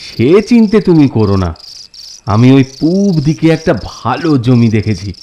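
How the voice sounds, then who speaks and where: An actor playing an eager, bossy middle-aged village husband in a cartoon, with an excitable way of repeating his phrases. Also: medium pitch, normal speed, dull audio, slight background noise, natural voice. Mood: reassuring, pleased. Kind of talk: cartoon voice acting.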